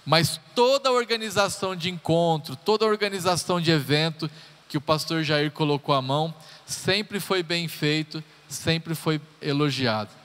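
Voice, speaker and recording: A man's voice: a little high, moderate pace, a bit bright, smooth. A man in his thirties, a pastor giving a chatty, joking sermon introduction with personal stories to his congregation. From Brazil. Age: 30s. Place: church hall. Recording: live church hall reverb.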